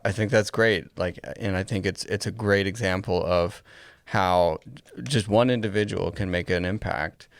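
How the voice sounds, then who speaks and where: Male podcast co-host, low-pitched with vocal fry, conversational, recorded close and dry. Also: steady tone, normal speed, dry recording. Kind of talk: casual conversational podcast discussion.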